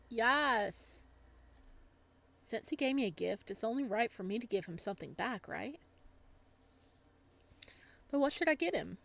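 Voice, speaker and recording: A woman playing a visual novel, reading the narration aloud and adding casual, playful, expressive commentary as she goes. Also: on the high side. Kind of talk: casual game commentary mixed with dramatic narration.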